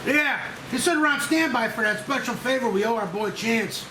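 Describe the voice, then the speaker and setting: Easygoing blue-collar man in his fifties read by a man, raised pitch, noisy room. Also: moderate pace, distant mic. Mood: chummy. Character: casual, easygoing electrician buddy in fifties. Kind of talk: movie script table read. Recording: echoey room, clear noise.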